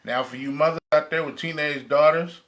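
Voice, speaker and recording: A male American podcast co-host speaks in relaxed, informal slang. His voice sits a little high for a man and has a bright edge, suited to casual banter. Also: a little fast.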